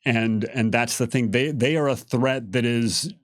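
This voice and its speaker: A man's voice: medium pitch, measured pace, dry recording, clean studio sound. A man casually explaining fictional lore as a game developer, unscripted, with emphatic stresses on key words. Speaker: game developer answering lore questions on a live stream.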